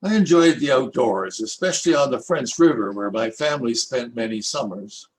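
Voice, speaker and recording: An older Canadian man reading his own rhyming poems aloud in a measured, lilting recitation. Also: medium pitch. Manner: lilting, expressive recitation with rhythmic rhyme. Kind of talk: slow, measured poetry reading.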